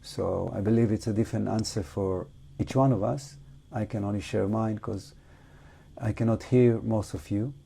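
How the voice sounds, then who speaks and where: A man who is not a native English speaker guides an unscripted meditation talk, reflective and halting, with long pauses. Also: fairly low pitch, steady tone, creaky, warm tone, husky. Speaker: meditation teacher.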